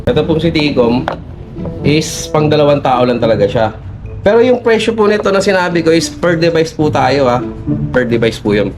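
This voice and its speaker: A man's voice: fairly high pitch, very animated, moderate pace, music in the background. A Filipino man working as a shop salesperson, explaining products in a polite, conversational way and mixing in English terms.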